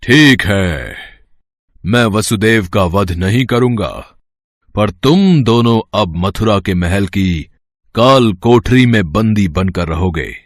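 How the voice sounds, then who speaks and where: Man acting a slow, deep, cruel tyrant king. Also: expressive, no room sound, clean studio sound. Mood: stern, threatening. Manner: commanding, menacing. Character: cruel tyrant king, menacing brother of Devaki. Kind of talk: Hindi mythological audio story acting.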